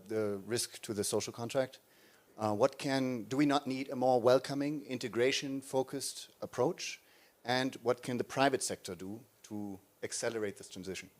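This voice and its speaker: A young man from the audience asking a structured, earnest question. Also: mid-range voice.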